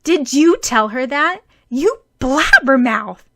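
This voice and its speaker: A woman voicing a bossy, hot-tempered teenage girl with a broken leg, shrill and indignant. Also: normal speed, close to an everyday voice.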